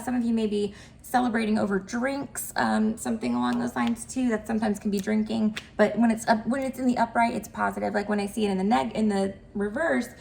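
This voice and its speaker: A woman's voice: medium pitch, creaky, a little hiss or hum. A woman giving a casual, upbeat tarot reading, her voice cracky and nasal from a mild cold, chatting with many fillers. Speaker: tarot reader.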